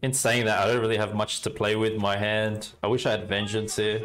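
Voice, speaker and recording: A young Australian man casually commenting on his card game and chatting with viewers, relaxed and talkative. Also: fairly low pitch, fairly quick, soft background music. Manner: relaxed, chatty, talks to chat while playing. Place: indoor room at a desk.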